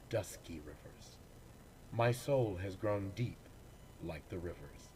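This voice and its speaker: Slow, measured man reciting poetry. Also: lowish voice, a little mellow, slight background noise, low-quality recording. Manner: measured, deliberate phrasing with pauses. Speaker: actor reading poems.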